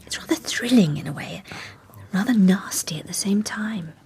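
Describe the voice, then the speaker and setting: An actress playing a polite, intrigued young woman passenger in a radio drama, breathy, with an English accent. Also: medium pitch, expressive, normal speed, a little mellow, close to an everyday voice. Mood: shyly thrilled.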